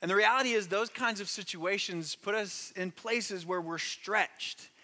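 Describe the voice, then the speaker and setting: A pastor delivers a sermon with earnest emphasis, stacking phrases for weight. His voice is bright and forceful, a little higher than most men's. A long reverb trails him through a large hall. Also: unhurried.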